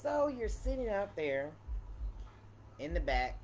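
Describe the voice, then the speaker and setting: A woman with a deep voice chatting casually and playfully in a wine tasting vlog, repeating phrases for emphasis. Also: sing-song, slow pace, darkish tone, a little hiss or hum. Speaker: vlogger reviewing wine.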